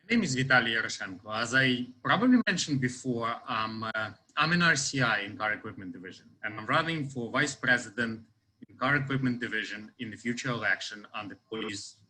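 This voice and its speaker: A man's voice: medium pitch, lively intonation, fairly quick, bright, forceful tone, poor audio. A man in his thirties from Ukraine with a Ukrainian accent, giving a semi-formal campaign talk in hesitant, roundabout phrasing. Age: 30s. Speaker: union election candidate.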